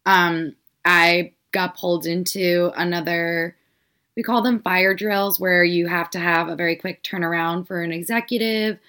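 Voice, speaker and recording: A young woman with a fairly low voice co-hosts a relaxed podcast. She rambles through stories in an easygoing, chatty way and giggles between thoughts. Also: unhurried, clear, resonant tone. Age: 20s. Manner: rambling storytelling, giggles often. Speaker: podcast co-host. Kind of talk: casual podcast chat.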